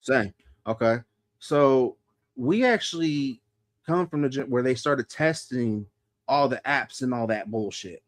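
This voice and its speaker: A man's voice: mid-range voice, a little slow, no echo, clean studio sound. A man in his thirties hosting a podcast, talking loosely and profanely and laughing often. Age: 30s. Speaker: podcast host. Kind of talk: casual podcast chat.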